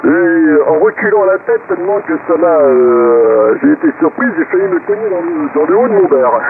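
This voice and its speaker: Halting man on staticky, tinny radio. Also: fairly high pitch, big pitch swings. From southwest France. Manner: halting, repeats words. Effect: two-way radio. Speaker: CB radio operator. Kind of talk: casual radio contact chat. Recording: narrow, noisy CB radio transmission.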